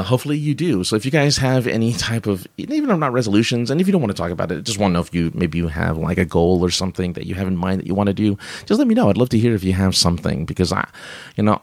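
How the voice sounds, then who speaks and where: A man's voice: on the low side, big pitch swings, fast, darkish tone, no echo. A man hosting a live stream, chatting casually and reading viewer comments, rambling with many fillers and restarts and laughing often.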